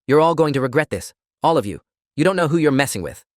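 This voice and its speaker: Man acting loud, raging, self-pitying gym bully. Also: a little high, expressive, fast talker, dry recording, studio quality, pitched above the performer's own voice, natural voice. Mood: bitter threat. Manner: ranting, accusatory, loud.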